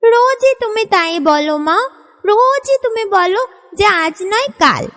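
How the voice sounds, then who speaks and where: A woman voicing a whiny, pleading young child in a family sketch, wheedling with drawn-out words. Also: very high-pitched, expressive, a bit bright, exaggerated voice. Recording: reverberant hall-like echo.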